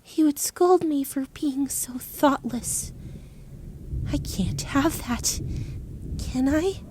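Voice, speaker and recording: A woman's voice: high-pitched, slow, background noise. A woman voicing a defiant, battle-worn girl with magic powers in an anime-style audio drama, dramatic and stubborn, a little breathless. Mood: wry determination.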